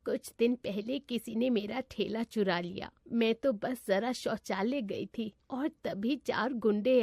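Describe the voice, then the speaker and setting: A woman acts out a poor older female food vendor in a moral story. She is humble and gentle, speaking plaintively and softly deferential, in a dry recording. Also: a little high, no room sound.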